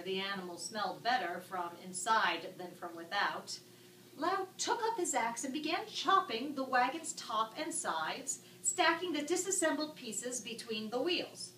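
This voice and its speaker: A woman narrating a written story in an expressive, arch, dramatic style. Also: mid-range voice, unhurried. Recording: echoey room, distant mic, background noise.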